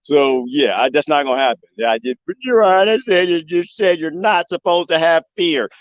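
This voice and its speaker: A man live streaming from the desert, joking and rambling in a loose, animated way. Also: fairly high pitch, moderate pace, dry recording, muffled recording. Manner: animated, joking, imitates bird chirps. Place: outdoors in the desert.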